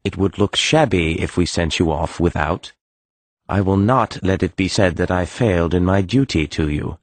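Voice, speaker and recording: Dutiful nobleman's steward: deep voice, formal, measured, very dry sound. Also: no room sound. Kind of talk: full-cast audiobook acting.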